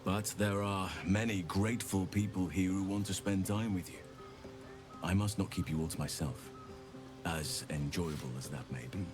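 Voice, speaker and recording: An actor playing a gracious, measured gentleman companion in a fantasy video game, speaking politely and formally. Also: low voice, lightly acted. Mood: courteous, encouraging. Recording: music playing behind the voice.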